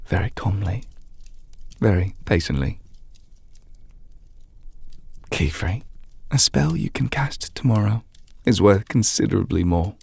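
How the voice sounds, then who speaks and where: A man playing a gentle, patient magic teacher in an ASMR audio roleplay, speaking softly and formally, close to the microphone, as he reassures an apprentice. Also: on the low side, expressive, moderate pace, dry recording, full character voice. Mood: quiet, wistful. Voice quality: soft, breathy, half-whispered. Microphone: close mic, dry.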